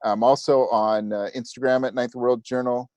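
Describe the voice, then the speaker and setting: A man's voice: medium pitch, flat delivery, moderate pace, mellow, no room sound. A man chatting casually as an interview guest, with an agreeable, self-deprecating way of talking. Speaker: podcast creator and audiobook narrator, interview guest.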